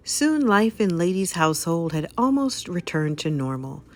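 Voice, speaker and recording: A woman reading a children's story aloud as narrator, with an expressive, dramatic delivery. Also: fairly low pitch, normal speed, faint music behind.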